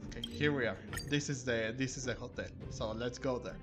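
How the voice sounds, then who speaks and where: A man doing casual gameplay commentary and reading dialogue aloud, with a hesitant, halting delivery. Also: medium pitch. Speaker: gamer playing through a story game and reading out dialogue. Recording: music playing behind the voice. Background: game music.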